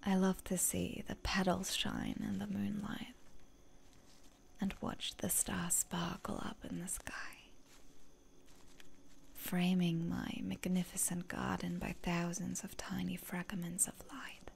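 A woman playing a seductive, genteel lady vampire in a breathy, coaxing whisper for roleplay ASMR. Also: on the low side, level tone, slow, full character voice. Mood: soft, wistful.

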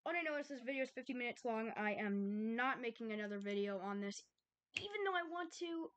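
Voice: mid-range voice, expressive, a little fast, fairly bright tone. A child making casual, off-the-cuff commentary as a YouTuber reacting to game videos.

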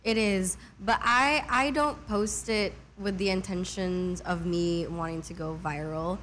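A young woman in her twenties, a singer talking casually as an interview guest, relaxed and chatty with many fillers. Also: medium pitch, unhurried, a little hiss or hum. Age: 20s. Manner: relaxed, chatty, lots of "like" and "mm-hmm".

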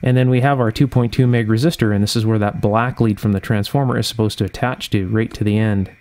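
A man's voice: fairly low pitch, steady tone, fast talker, a little mellow. A man talking through a hands-on repair step by step, unscripted, methodically thinking aloud at an indoor workbench. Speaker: host of an electronics repair channel. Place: indoor workshop bench.

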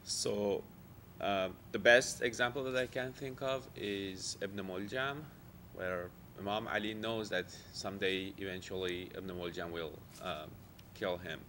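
A man's voice: deep voice, fairly even intonation, measured pace, a bit bright, slight background noise. A man in the audience asking a long question, hesitant and thinking aloud. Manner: hesitant, many fillers.

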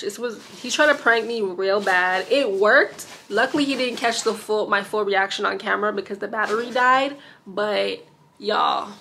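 A young woman chatting to her camera mic in a bedroom, gushing and loose, with plenty of "like" fillers. Her pitch sits in an ordinary middle range, and a faint noise hums behind her. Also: pushed and bright. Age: young adult. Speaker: vlogger. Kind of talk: casual vlog talk to camera. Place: bedroom indoors. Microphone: camera mic, sitting or handheld close.

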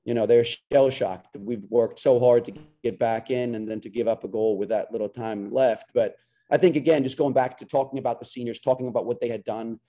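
A man's voice: mid-range voice, steady tone, fairly quick, dark tone. A man in his forties, a head coach answering questions after a game over a video call from a small locker room, earnest and restarting his sentences. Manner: earnest, repeats words when starting sentences. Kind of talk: post-game press conference answers. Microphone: video call webcam.

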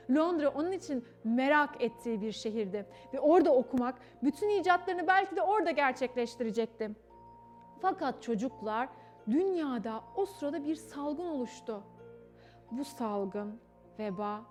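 A woman's voice: on the high side, darkish tone. A young woman narrating a children's story in a theatrical, emphatic way, speaking directly to her young listeners. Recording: music behind the voice. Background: background music.

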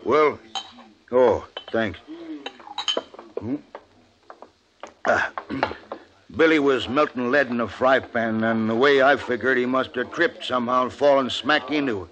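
A man's voice: mid-range voice, a little slow, pauses often, a bit bright, close to an everyday voice. An American man playing a blunt, wary frontier hide skinner with a plain rural Western drawl in an old-time radio drama. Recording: muffled vintage radio recording with music.

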